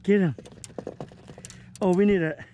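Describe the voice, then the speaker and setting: A middle-aged man chatting excitedly while fishing from a small boat outdoors, whooping and laughing at each catch, recorded on an action camera. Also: high-pitched, a little slow, slight background noise. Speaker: fishing vlogger.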